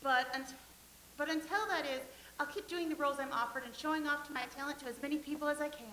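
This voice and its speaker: A gushing, naive young actress, played by a woman, talks about herself with giggles. Her voice is high and bright, and the room rings with echo. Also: quick pace, slight background noise. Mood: boastful. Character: vain, starry-eyed young stage actress, woman. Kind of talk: staged play reading. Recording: echoey room.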